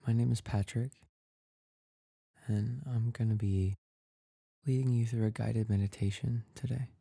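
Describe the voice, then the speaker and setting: Male meditation guide, very mellow and relaxed, gravelly fry at the edges, soft, calm and unhurried. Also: medium pitch, no room sound, studio quality. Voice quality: soft, breathy and husky. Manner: calm, gentle, unhurried with long pauses.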